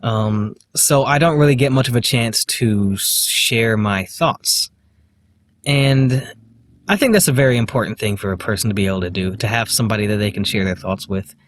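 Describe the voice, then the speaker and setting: A man with a slight lisp, talking to the camera in a halting, hesitant way, full of fillers and restarts. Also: lowish voice, slight background noise. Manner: quiet, self-deprecating. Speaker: vlogger. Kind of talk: casual self-recorded monologue.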